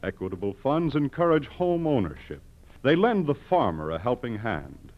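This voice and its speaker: A man's voice: medium pitch, sing-song, moderate pace. A man announcing in the formal, oratorical scripted style of a 1940s radio sponsor message. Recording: dull old radio recording.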